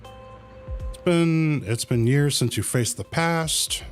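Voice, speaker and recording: A man's voice: a little high, slow pace, soft background music. A man casually commentating on a game livestream, reading dialogue aloud in a laid-back, colloquial way and muttering to himself. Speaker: game streamer.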